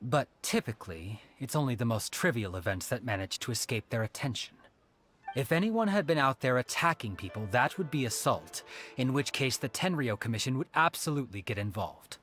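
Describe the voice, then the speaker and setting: A talkative, upright town detective, voiced by a man, his mid-pitched voice bouncing up and down with eager expression. Faint music plays behind him in this video game scene. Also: lively intonation, fairly quick, a bit bright, natural voice.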